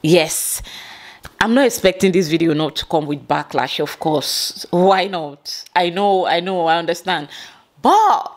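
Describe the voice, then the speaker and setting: A woman's voice: fairly low pitch, expressive, unhurried. A Nigerian woman in her thirties from the Niger Delta, talking to camera in an impassioned, pleading vlog, sometimes slipping into Pidgin phrases. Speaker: vlogger. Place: small indoor room. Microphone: clip-on lapel mic.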